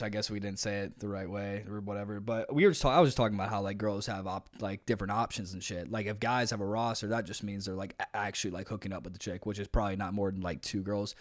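A man's voice: fairly low pitch, fairly even intonation, quick pace, hardly any pauses. A young man co-hosting a podcast in casual chat, rambling with lots of slang and restarts. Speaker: podcast co-host.

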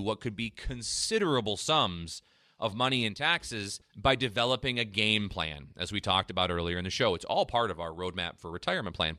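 A man hosting a retirement finance radio show, pitching a free tax analysis and explaining when to claim benefits. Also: sharp tone, no echo, clean studio sound. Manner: persuasive sales pitch, then explanatory. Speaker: financial advisor radio host.

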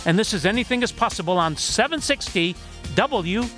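A man hosting a radio interview show, introducing his guest and asking questions in a deliberate, emphatic way. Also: high voice, expressive, music in the background.

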